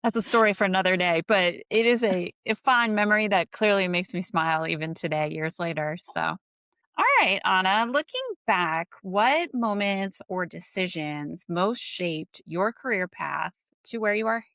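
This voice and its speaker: A woman's voice: mid-range voice, normal speed, dry recording. A woman hosts a business interview with an upbeat, encouraging manner and an easy laugh. Her voice sits in a mid range and comes across dry and close. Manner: upbeat, warm-toned and encouraging, laughs easily. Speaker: interview host. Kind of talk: hosted video interview.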